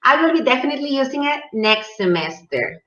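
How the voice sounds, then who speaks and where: A woman's voice: sits high, sing-song, brisk, pushed and bright, lo-fi sound. A woman moderating an online conference Q&A, asking questions and reading out audience comments, heard over a video call. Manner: reads audience comments, brief affirmations.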